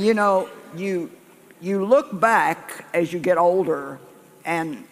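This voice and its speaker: An older man preaching a sermon to a live congregation in a folksy, conversational way, often restarting phrases and repeating points. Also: high voice. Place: large church sanctuary. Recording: echoey church hall.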